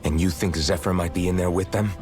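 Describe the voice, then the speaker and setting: A man's voice: deep voice, steady tone, quick pace, a little mellow, faint music behind, natural voice. An actor playing an earnest, determined young male rebel fighter in a video game. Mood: urgent, resolute. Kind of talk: video game cutscene acting.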